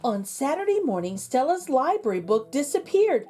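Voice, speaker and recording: A woman's voice: high-pitched, big pitch swings, moderate pace, dark tone, soft background music. A woman reading a children's storybook aloud as host, narrating expressively for young listeners.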